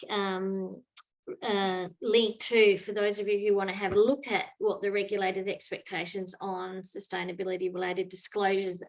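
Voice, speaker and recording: An Australian woman hosts an online Q&A in a mid-pitched voice, thinking aloud and filling her pauses with "um" and "you know." Her questions come out slowly and conversationally over online call audio. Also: low-quality recording. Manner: reflective, thinking aloud with many fillers. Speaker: host, moderator. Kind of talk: online webinar Q&A.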